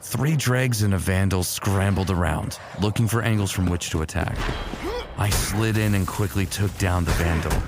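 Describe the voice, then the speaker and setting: Gravelly, very deep wry warrior played by a man. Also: moderate pace, background noise. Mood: wry, smitten. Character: smitten, teasing male Titan warrior narrating his exploits. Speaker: narrator and lead character. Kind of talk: first-person narration in fan fiction audio drama.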